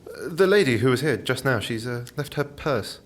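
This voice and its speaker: An actor playing a nervous, chatty and polite would-be novelist in a radio play, hesitant and apologetic. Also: male voice, medium pitch, lively intonation, moderate pace, natural voice. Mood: flustered politeness. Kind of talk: naturalistic radio play acting.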